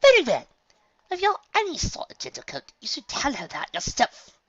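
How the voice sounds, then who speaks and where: A woman's voice: on the high side, wildly swooping pitch, moderate pace, fairly bright tone, slightly rough, no echo, pitched above the performer's own voice, exaggerated voice. A woman voicing a refined, theatrical grown unicorn mare who runs a dress shop, speaking in an elegant, fussy manner. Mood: earnest. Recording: dull lo-fi, dry recording.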